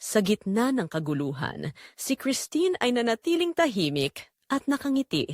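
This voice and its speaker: A female narrator tells a scripted romantic drama. Her pitch moves expressively through dramatic, measured phrases with pauses, and the recording is dry and close with no room sound. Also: sing-song, darkish tone, no echo.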